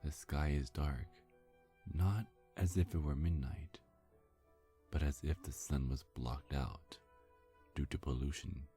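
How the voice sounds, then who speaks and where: An ASMR storyteller whispers in an extremely low register. The delivery is very slow and deliberate, with long pauses. Faint music runs behind the hushed narration. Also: steady tone.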